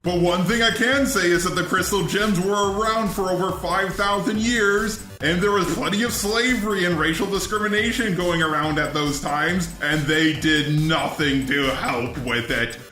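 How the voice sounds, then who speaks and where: A man's voice: high-pitched, moderate pace, hardly any pauses, sharp tone, reverberant, faint music behind, poor audio. A man's synthetic text-to-speech voice delivering scripted video commentary.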